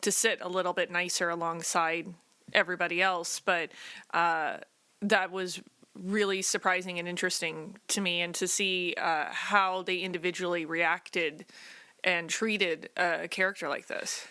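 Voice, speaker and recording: A woman giving thoughtful, measured answers in a casual discussion as a game player. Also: fairly low pitch, flat delivery, bright, forceful tone, clear, resonant tone, no room sound. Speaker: player on a tabletop game podcast.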